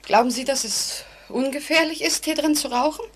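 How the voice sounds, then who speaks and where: A woman's voice: high voice, normal speed, a bit bright. An actress playing a nervous, frightened woman in a 1940s-style radio play, speaking in a hesitant, anxiously polite way. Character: nervous, increasingly frightened woman trapped in an elevator. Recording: noisy vintage radio drama recording.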